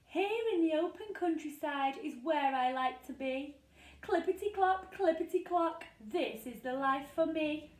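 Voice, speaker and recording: Woman voicing a high, sing-song storybook rocking horse. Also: animated, a little slow, roomy sound. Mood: happy, proud. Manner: sing-song rhyming delivery. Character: wistful male rocking horse longing for adventure.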